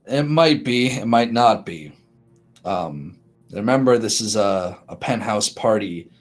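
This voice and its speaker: A man voicing a cranky male bodyguard in a tabletop game, speaking deadpan and haltingly. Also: fairly low pitch. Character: gruff male bodyguard, dry and protective. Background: faint music.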